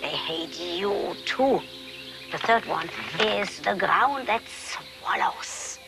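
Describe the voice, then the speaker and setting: An actress playing an eccentric, blunt, moody mystic guide, with a dramatic, drawn-out delivery in a comic fantasy style. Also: female voice, mid-range voice, expressive, creaky, heavily acted. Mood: ominous. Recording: music behind, VHS tape source.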